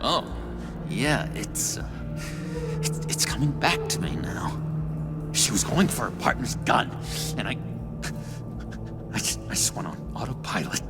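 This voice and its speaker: An actor playing an unstable, nervous young man in a video game, stammering and halting, paranoid and quick to swing into outbursts. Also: medium pitch, sing-song, over loud music. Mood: nervous, evasive. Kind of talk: dramatic video game acting.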